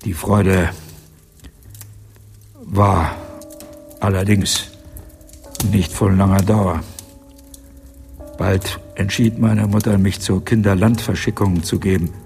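Old guy in radio play, real slow n halting, bitter confession, music behind. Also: deep voice, steady tone, creaky, a little mellow, exaggerated voice. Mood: weary confession. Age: older. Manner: halting, broken phrases, emotional outbursts. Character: old man bitterly confessing his wartime youth, agitated. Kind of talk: German radio drama acting.

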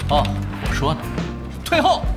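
A man playing a laid-back expert gamer who works at an internet cafe, offhand and calm, in an audio drama. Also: moderate pace, over loud music, natural voice. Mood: nonchalant. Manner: nonchalant, offhand, calmly giving orders. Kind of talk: Chinese audio drama acting.

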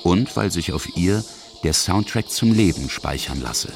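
A man's voice: deep voice, animated, unhurried, over music. A man reading scripted documentary narration as the narrator and interviewer of a feature.

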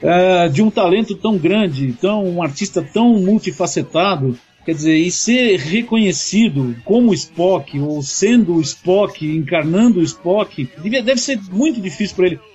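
A man's voice: sits high, animated, moderate pace, few pauses, a little mellow, husky, lo-fi sound. A Brazilian man chatting informally on a podcast, using slang and often repeating and rephrasing himself. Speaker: podcast panelist. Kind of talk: casual chat, informal and slangy. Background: background music.

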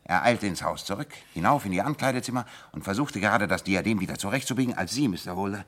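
An actor playing a shrewd, self-assured detective in a German radio drama, explaining things emphatically and with authority. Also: male voice, fairly low pitch, expressive, quick pace, a bit bright. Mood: vivid recounting.

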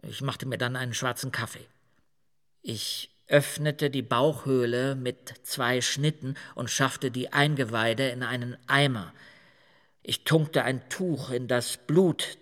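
A man's voice: mid-range voice, a bit bright, in a large hall, lightly acted. An actor playing a boastful, unrepentant male serial killer in a radio play, matter-of-fact and self-important.

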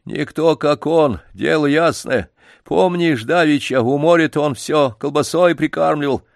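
A man's voice: a little high, unhurried, gravelly, no echo, clean studio sound, pitched above the performer's own voice. A man voicing a frail old grandfather, a grumbling, plaintive circus wanderer in a story, speaking in a folksy, emphatic way. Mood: helpless lament. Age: older.

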